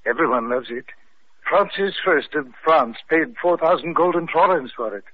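An actor playing an eerie, devoted gaunt butler who speaks formally and reverently in an old radio mystery. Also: male voice, on the high side, animated, moderate pace. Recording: thin, phone-like vintage radio recording.

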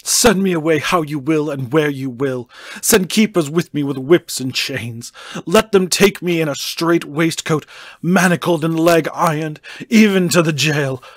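A man's voice: on the high side, unhurried, dry recording, studio quality, pitched above the performer's own voice. A man doing a frantic, begging asylum inmate. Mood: frantic pleading. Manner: pleading, desperate, rising to cries. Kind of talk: audiobook character reading.

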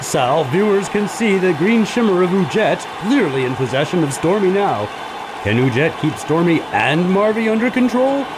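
A befuddled commentator played by a man announces a live event in mock broadcast style. His pitch moves a lot, with clear ambient noise around him. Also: sing-song, normal speed. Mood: puzzled, announcing.

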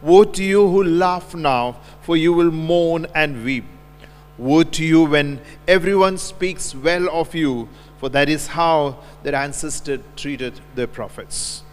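Priest reading in a reverberant church. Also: male voice, a little high. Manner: measured, solemn liturgical reading. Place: large church, at the altar. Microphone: stand mic at the altar, filmed from a distance. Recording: long church reverb, faint hum.

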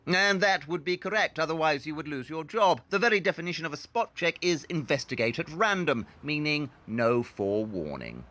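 A man's voice: fairly high pitch, sing-song, moderate pace, hardly any pauses, bright, forceful tone. An actor playing a brilliant, self-assured male detective in an audio drama, explaining things in a clipped, superior, matter-of-fact way. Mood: officious, commanding.

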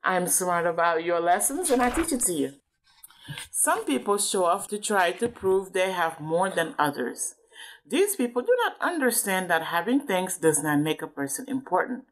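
A woman's voice: lowish voice, sing-song, normal speed, rough recording. A woman reading aloud to a child as a parent, explaining things and checking understanding with questions.

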